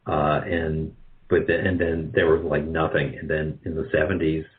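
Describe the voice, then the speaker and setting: Low-pitched man, dull lo-fi interview audio. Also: little pitch movement. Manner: halting, rambling, self-correcting. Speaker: guest, radio drama producer. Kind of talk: casual interview talk.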